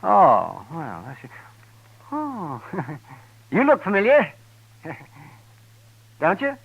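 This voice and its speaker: High-pitched, folksy frontier doctor. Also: male voice, huge pitch swings, extremely slow, gravelly, breathy edge, muffled recording, exaggerated voice. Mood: curious, flustered. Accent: American. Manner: rambling, hesitant, folksy. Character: folksy, evasive frontier town doctor, excitable and chuckling. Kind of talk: 1950s radio western character acting. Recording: vintage 1950s radio recording.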